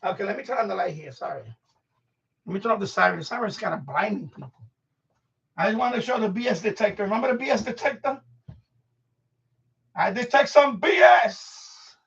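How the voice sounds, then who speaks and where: A man with a creaky, gravelly edge rambles through an informal live stream from a room at home. His talk is slangy and loose, half to himself. The sound is dull and lo-fi, picked up from a distance. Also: high voice, brisk, long pauses, a bit bright. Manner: informal, slangy, swearing, talking to himself while handling objects. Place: room at home being set up as a radio shack.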